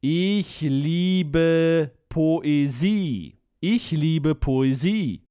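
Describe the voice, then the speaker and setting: A man's voice: on the high side, very slow, mellow, clean tone, dry recording, studio quality. A man reading phrases for a language course, splitting and repeating them with careful enunciation. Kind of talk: slow, clear phrase-by-phrase repetition.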